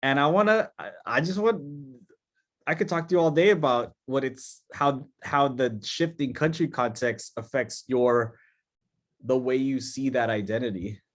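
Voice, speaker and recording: A man's voice: mid-range voice, normal speed. A man moderating a panel, posing questions thoughtfully and restarting his phrasing. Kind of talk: panel discussion.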